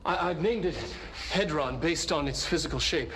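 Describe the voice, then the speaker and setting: A man's voice: on the high side, a bit bright, natural voice. A man playing a clinical scientist on a noisy log. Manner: measured, clinical delivery with nervous stammers. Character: earnest male scientist recording research logs. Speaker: research scientist. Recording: echoey, noisy recorded log.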